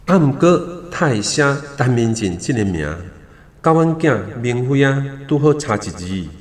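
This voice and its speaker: Male actor as startled worried father and doctor, Taiwanese accent, animated intonation, long echo, radio drama. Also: medium pitch, a little slow, close to an everyday voice. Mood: anxious, wondering. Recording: reverberant echoey hall sound.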